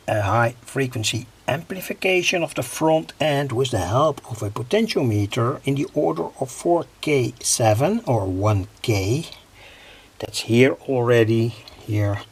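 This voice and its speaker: A man from the Netherlands gives an informal, hands-on technical explanation as a hobbyist, in a husky, gravelly voice with halting, piecemeal phrasing. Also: medium pitch, expressive. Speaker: hobby electronics video maker.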